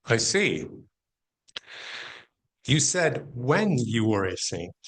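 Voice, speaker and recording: A man's voice: mid-range voice, big pitch swings, very slow, a bit bright, heavily acted. A man playing a curious questioner in a stage play. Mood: curious, flattering. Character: curious male questioner addressing a saint and goddess. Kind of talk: amateur stage play performance.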